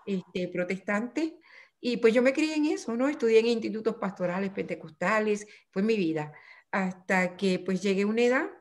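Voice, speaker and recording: An older woman with a Puerto Rican Spanish accent talks about her life in a remote interview. Her delivery is reflective and unhurried, and she often restarts her sentences. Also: mid-range voice. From Puerto Rico.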